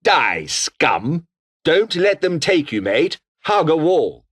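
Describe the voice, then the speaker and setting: A British revolutionary foot soldier in a video game. His pitch leaps and drops dramatically, and he takes his time over the words. He is foul-mouthed, taunting and full of menace, with theatrical delivery. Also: sing-song, takes it slowly, a bit bright, slightly rough, exaggerated voice. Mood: menacing, rallying. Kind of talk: video game combat barks.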